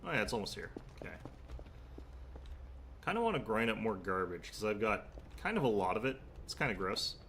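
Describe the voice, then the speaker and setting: A man with a low, gravelly voice and a stuffed-up nose, muttering through a game session. He talks half to himself, with asides and small remarks while he plays. Faint keyboard typing and ambience sit behind him. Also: big pitch swings, a little fast, long pauses, a bit bright, a little hiss or hum. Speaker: streamer. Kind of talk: casual gameplay commentary.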